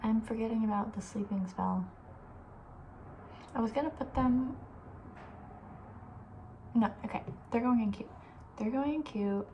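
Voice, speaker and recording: A soft-spoken woman host chatting casually in an ASMR style, often near a whisper, informal and thinking aloud. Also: on the high side, unhurried, pauses often, a little hiss or hum, low-quality recording.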